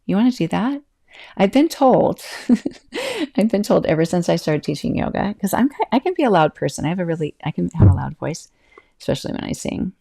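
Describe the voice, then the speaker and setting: A middle-aged woman hosts her own podcast as a yoga teacher, speaking in a mellow, gravelly voice. She talks casually, breaks off her own sentences and laughs often. Her voice stays calm even when it turns loud and carries. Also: lowish voice, brisk. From California. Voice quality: can be loud and projecting, calm-sounding. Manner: chatty, self-interrupting, laughs often. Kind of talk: casual solo podcast talk.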